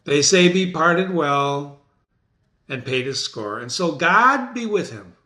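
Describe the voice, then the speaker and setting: A man playing a proud, stoic, grieving soldier father in a grave, measured Shakespeare reading. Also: on the high side, lively intonation, pushed and bright, full character voice. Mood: stoic grief. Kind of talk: dramatic reading of a Shakespeare play. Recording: echoey room.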